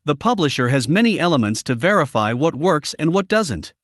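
Man, expressive pitch movement, dry recording, formal prepared-sounding explanations, interview guest. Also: fairly quick, dry recording. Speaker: interview guest, media analytics company representative.

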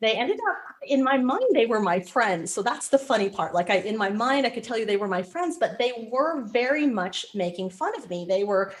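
Animated woman telling stories. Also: fairly high pitch, moderate pace, few pauses. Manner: animated storyteller, frequent restarts. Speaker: podcast guest. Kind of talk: conversational interview.

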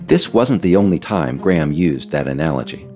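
A man hosting a podcast series, reading scripted narration in a measured, emphatic, explanatory way. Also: very deep voice, sing-song, darkish tone, faint music behind. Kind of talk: scripted narration, audio essay read aloud.

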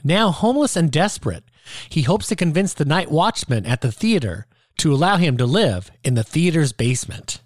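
A man's voice: on the high side, sing-song, moderate pace, dry recording, studio quality. A man giving a scripted narration that introduces the play.

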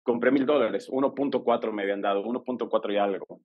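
A man from El Salvador with a Salvadoran accent, a guest on a podcast, telling his story in a lively way and acting out the dialogue he quotes. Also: fairly low pitch, quick pace, breathy edge, highs cut off. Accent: Salvadoran Spanish. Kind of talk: casual storytelling interview.

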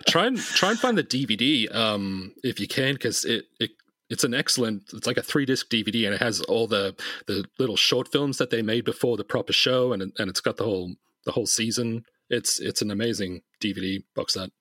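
Bright-voiced, low-pitched man chatting. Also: animated, a little fast, no echo. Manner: rambling, enthusiastic. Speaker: podcast guest, comic creator. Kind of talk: casual chat.

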